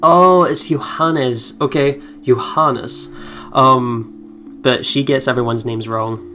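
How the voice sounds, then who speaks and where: A man doing let's play commentary, reading game narration aloud in an expressive way with playful asides. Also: mid-range voice, takes it slowly. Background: soft game music with guitar.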